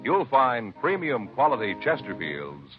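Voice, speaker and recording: A man's voice: medium pitch, huge pitch swings, measured pace, faint music behind. A man delivering scripted announcements and sponsor lines in a 1950s radio style. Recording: dull vintage radio recording.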